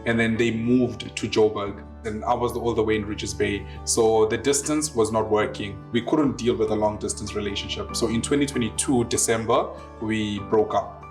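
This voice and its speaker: Young man in his twenties, playful and animated storyteller, faint guitar behind. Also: lowish voice, normal speed. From Zimbabwe and South Africa. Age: 20s. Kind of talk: casual interview storytelling.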